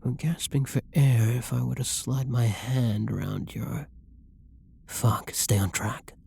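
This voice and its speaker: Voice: medium pitch, slow pace, creaky, mellow, slight background noise. An actor voicing a flirty, possessive villain who menaces and teases a hostage in an ASMR roleplay, domineering and often dropping into whispers. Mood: teasing, commanding. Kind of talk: roleplay ASMR audio drama monologue.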